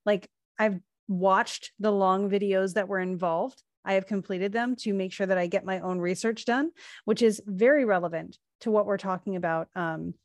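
A woman's voice: mid-range voice, steady tone, normal speed, dry recording. A woman co-hosting a casual spiritual podcast, explaining at length with lots of fillers and restarts. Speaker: podcast co-host, astrologer.

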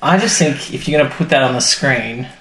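A man's voice: mid-range voice, fairly quick, fairly bright tone. A man co-hosting a podcast, chatting casually and playfully as he recaps a TV show. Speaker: podcast co-host. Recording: roomy echo, faint background noise.

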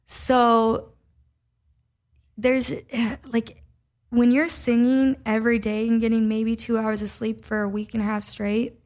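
A fairly high-voiced young woman from Minnesota, chatting as a podcast guest. She tells her story casually, scattering "like" fillers and laughing easily. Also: little pitch movement, a little slow, darkish tone.

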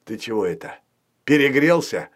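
A man voicing a friendly, practical young male dragon in a children's story, with a soft, drawn-out delivery. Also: medium pitch, very animated. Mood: calm, reassuring.

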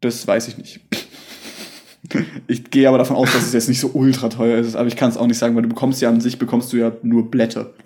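A young man in casual chat as a podcast co-host, a relaxed, chatty storyteller. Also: mid-range voice, quick pace.

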